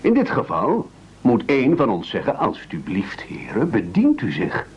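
An actor playing a formal, commanding castaway gentleman in an absurdist radio play, measured and over-polite. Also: mid-range voice, huge pitch swings, slight background noise. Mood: solemn, persuasive. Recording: dull lo-fi old radio recording.

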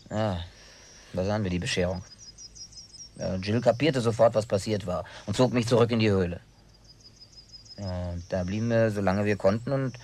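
A man's voice: lowish voice, a little mellow, a little hiss or hum, close to an everyday voice. An actor playing a stubborn, simple-hearted survivor in a radio play, telling his story in a colloquial, grumbling way with wry humor. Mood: casual, slightly irritated. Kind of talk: German radio play dialogue.

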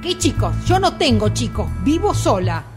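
An actress playing an alarmed, indignant Argentine woman roused from sleep in a radio play. Her voice is high and swoops about, with loud music playing over it. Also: big pitch swings, moderate pace. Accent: Argentine Rioplatense Spanish. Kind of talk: radio drama acting.

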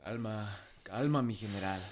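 An actor playing a loyal, respectful Mexican revolutionary aide in a radio drama. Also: male voice, lowish voice, measured pace, soft background music. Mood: consoling.

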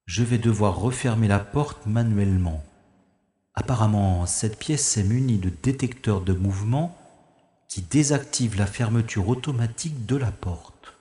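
A man's voice: lowish voice, unhurried, dark tone, roomy sound, big-room sound, close to an everyday voice. A man playing a wry, unflappable special agent. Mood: calm. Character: special agent hero, calm and wry, narrating his own escape. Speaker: lead character and narrator. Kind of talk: first-person audio drama narration.

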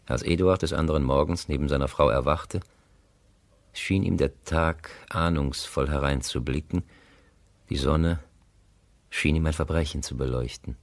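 A man narrating a literary radio play, grave, composed and deliberate, on a close studio mic. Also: very deep voice, steady tone, dry recording. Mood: reflective.

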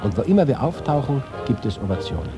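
An ageing man home from exile, played by an actor, speaks as a proud, nostalgic reporter in a radio feature. His delivery is expressive and literary, with music clearly behind him. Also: mid-range voice, fast, close to an everyday voice. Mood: proud, assured. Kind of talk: radio feature dramatic monologue.

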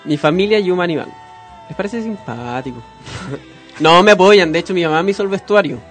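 Young chilean guy, playful, jokes on himself, a bit high voice, faint music behind. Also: a little fast. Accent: Chilean Spanish. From Spain. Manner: playful, self-mocking. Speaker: guest filmmaker. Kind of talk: casual radio interview.

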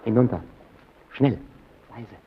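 An actor playing a haunted, inward-listening man in a German radio drama, murmuring a fragmented, hushed interior monologue. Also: medium pitch, slow, natural voice. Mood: haunted, secretive. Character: haunted, inward-listening man stealing through a foggy tower. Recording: muffled, phone-like old recording with hiss.

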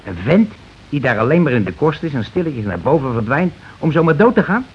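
A scheming working-class landlord, blustering and insistent, with a very animated pitch. He keeps repeating himself in colloquial bursts, in radio drama style. The old recording is dull, with some background noise. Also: brisk. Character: scheming, domineering, self-justifying working-class husband and landlord. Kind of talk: Dutch radio play acting.

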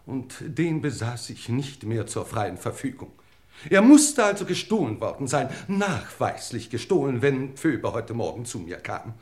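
An actor playing a haughty, suave English lord who speaks in a formal, elaborate way in an old German crime radio play. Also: male voice, mid-range voice, big pitch swings, a little slow, a little mellow. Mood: self-justifying, composed. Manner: pompous, formal, elaborately worded.

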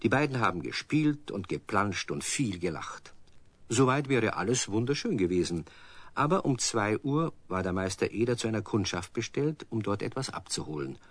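A man's voice: lowish voice, big pitch swings, normal speed, no room sound. A man narrating a children's radio play as a storyteller, speaking directly to young listeners. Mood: storytelling.

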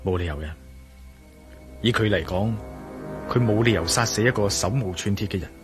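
A male actor plays an inquisitive adventurer in a radio drama, pondering aloud in Hong Kong Cantonese. The voice is fairly low and deliberate. Music is clearly audible underneath. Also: lightly acted. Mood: hushed wondering. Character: inquisitive, bold male adventurer investigating a mystery.

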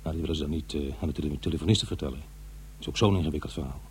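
An actor playing an uneasy, defensive middle-aged divorced father in a radio drama, confiding in a halting, conversational way. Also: extremely low pitch, a little mellow. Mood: agitated, rueful. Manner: halting, hesitant, self-interrupting. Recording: faint noise and hum.

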